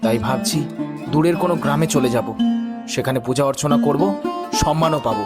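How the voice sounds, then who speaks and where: Man as a scheming high-voiced cartoon fake priest. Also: fairly quick, lightly acted. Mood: aggrieved. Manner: theatrical, scheming, self-important. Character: cunning, boastful barber's son posing as a Brahmin priest. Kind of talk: cartoon moral-story voice acting. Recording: music bed behind the voice.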